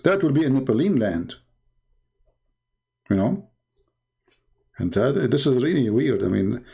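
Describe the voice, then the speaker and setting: Gravelly, husky Arabic-accented man. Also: mid-range voice, mellow. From Middle East. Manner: sarcastic, mocking, repeats phrases for emphasis. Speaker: live show host and religious apologist. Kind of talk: casual live-stream monologue.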